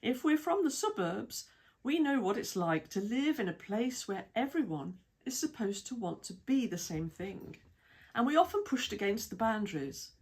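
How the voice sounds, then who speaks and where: A woman with a British accent reading an article aloud in a measured, even way, with lists of titles and dates. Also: on the high side, breathy edge. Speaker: reader of an article. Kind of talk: read-aloud essay narration.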